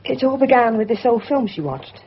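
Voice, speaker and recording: A woman's voice: a little high, big pitch swings, a little fast, slight background noise. A woman playing a puzzled, down-to-earth hospital worker in a naturalistic radio drama. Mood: puzzled, reflective. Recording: dull lo-fi radio recording.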